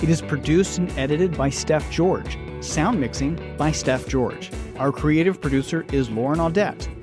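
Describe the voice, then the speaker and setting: Man, scripted podcast announcer, slightly high voice, guitar music behind. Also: a little slow. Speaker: podcast executive producer, announcer.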